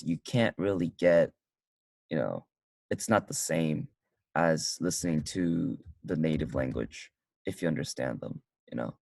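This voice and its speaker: A man casually reviewing a film with a friend, talking in halting bursts with many restarts. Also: low voice, gravelly, a little mellow, no echo. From United States. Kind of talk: casual movie review chat. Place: car.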